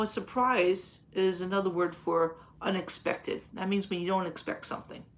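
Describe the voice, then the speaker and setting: Lady teacher reading a kids' picture book to the webcam, gentle, asks lots of questions, small home office sound. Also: medium pitch. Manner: gentle, questioning, explains words simply. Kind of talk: reading a picture book aloud to young children.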